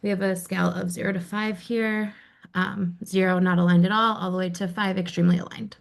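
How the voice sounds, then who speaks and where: A woman facilitating an online webinar, giving clear, practical instructions over a video call. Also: medium pitch, level tone, fairly quick.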